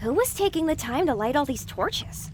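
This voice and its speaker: High, animated young pony filly. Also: female voice, moderate pace, slight background noise. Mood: indignant, questioning. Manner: meek, questioning. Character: young pony filly, meek, naive, chatty adventurer. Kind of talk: audio drama acting.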